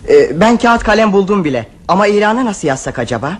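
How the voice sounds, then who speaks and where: A man's voice: high-pitched, big pitch swings, quick pace. An actor in a radio play voicing an impulsive, clumsy young boy who is eager to propose ideas.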